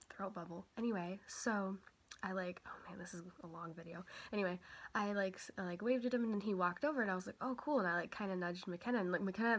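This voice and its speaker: A young woman vlogger, a little sick and stuffy, chatting casually and rambling with lots of fillers. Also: fairly low pitch. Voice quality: quiet voice. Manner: rambling, many fillers and self-corrections. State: stuffy nose from a cold. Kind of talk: casual chat.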